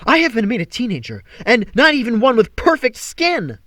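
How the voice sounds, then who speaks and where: A man's voice: very high-pitched, wildly swooping pitch, normal speed, bright, forceful tone, dry recording, studio quality, pitched above the performer's own voice, full character voice. A man voicing a vain, melodramatic fallen god in a teenage boy's body, indignant and whiny, as in an audiobook. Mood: outraged despair. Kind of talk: first-person audiobook character acting.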